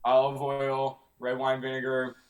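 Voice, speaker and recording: A man in his twenties talks casually as a band guest. He speaks haltingly and teases in a deadpan way. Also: mid-range voice, rough recording. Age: 20s. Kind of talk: casual chat.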